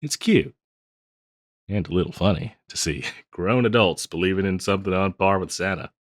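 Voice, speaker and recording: Guy doing an old west bartender, real low voice, folksy n teasing, rambles on, close dry roleplay audio. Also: normal speed, no room sound. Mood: playful, coy. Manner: folksy, rambling, chuckling drawl with hesitations. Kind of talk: intimate scripted roleplay audio, addressed to listener.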